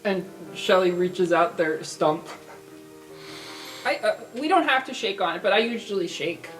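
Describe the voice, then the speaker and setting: A player in a tabletop game describing their character's actions in a playful, unhurried way. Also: mid-range voice, expressive, fairly bright tone, recorded from a distance, faint music behind, poor audio. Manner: slow, playful, bursting into laughter. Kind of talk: casual tabletop play.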